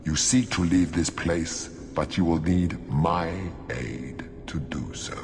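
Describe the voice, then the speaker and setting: An actor playing an ancient, imperious demon of stone in a video game, speaking formally and with menace. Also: male voice, rumbling low, slow pace, background noise. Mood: bargaining. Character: ancient imperious hungry demon rock creature.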